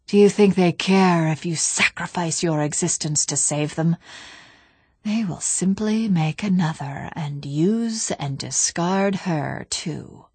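Lady doing a huge sneering alien hive mind monster, slow creepy whisper, audiobook villain, dry sound. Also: mid-range voice, darkish tone, no room sound. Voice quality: breathy whisper. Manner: slow, sinister, taunting.

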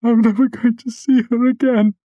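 Choked tearful young man, strained high pitch, breaking with grief, read by a man. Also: measured pace, creaky, warm tone, no room sound, lo-fi sound. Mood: grieving. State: crying. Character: grieving young man, choking back sobs.

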